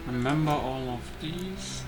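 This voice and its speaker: A man's voice: on the high side, slow, rough recording. A man giving casual let's play commentary as a gaming host, thinking aloud in a hesitant way. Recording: loud music behind the voice. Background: music.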